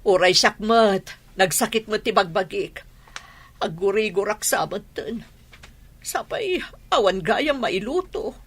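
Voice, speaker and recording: A woman playing a hard-pressed, grumbling middle-aged mother in a radio drama, with an Ilocano Filipino accent and a husky edge. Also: medium pitch, expressive, slow, exaggerated voice. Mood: weary.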